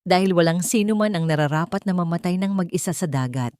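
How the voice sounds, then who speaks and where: Lady narrator as a sad quiet fisherman guy, grave n protective, romance story, lowish. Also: smooth, no echo, studio quality, close to an everyday voice. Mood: grave, calming. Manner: slow, grave, sparing with words. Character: quiet, sorrowful young male fisherman, protective rescuer.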